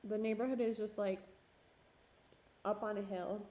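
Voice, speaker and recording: A woman's voice: mid-range voice, steady tone, moderate pace, warm tone. A young woman pottery streamer chatting casually with her chat while she works, with lots of fillers and laughs, and her voice starting to go. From Minneapolis–Saint Paul area. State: losing her voice. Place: home pottery studio.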